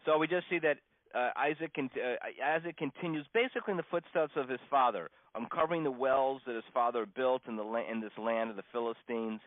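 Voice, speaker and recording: Halting male rabbi on a dull line. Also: mid-range voice, no echo. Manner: halting, stammering restarts and frequent pauses. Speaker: rabbi teaching a class. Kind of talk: informal religious lesson, unscripted storytelling. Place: teaching over a conference phone call. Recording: dull, dry conference call line.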